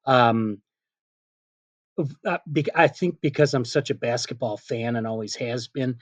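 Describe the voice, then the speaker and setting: A middle-aged man, a PA announcer being interviewed, telling casual anecdotes in an animated way and quoting remembered dialogue. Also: medium pitch, measured pace, dry recording. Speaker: stadium PA announcer, interview guest.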